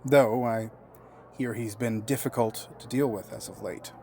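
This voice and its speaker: Man acting a measured, guarded friend, faint noise. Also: medium pitch, fairly even intonation, darkish tone, natural voice. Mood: troubled. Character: grieving, guarded, polite male friend. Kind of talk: audio drama acting.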